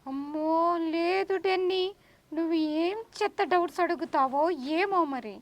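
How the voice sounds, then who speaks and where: A woman's voice: extremely high pitch, a little slow, dry recording. A woman voicing an exasperated older sister puppet, teasing and scolding in a children's puppet show.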